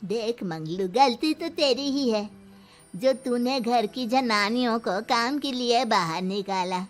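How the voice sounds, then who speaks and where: A woman's voice: on the high side, takes it slowly, faint music behind. A woman voicing a domineering old village grandmother in a cartoon story, scolding and complaining bossily. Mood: disapproving, scheming.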